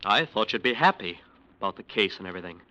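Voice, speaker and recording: An actor playing a defensive, uneasy lawyer, hesitant and self-justifying, in 1940s radio drama style. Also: male voice, on the low side, expressive, quick pace, pushed and bright. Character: defensive, uneasy male lawyer working for a gangster. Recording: noisy vintage radio recording.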